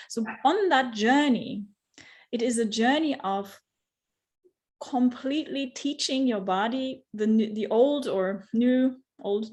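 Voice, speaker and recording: A woman guest speaking earnestly about spiritual experience, searching for words and slowing down on key phrases. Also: mid-range voice. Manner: earnest, searching, many restarts and fillers. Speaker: guest, yoga teacher and facilitator. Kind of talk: reflective spiritual conversation.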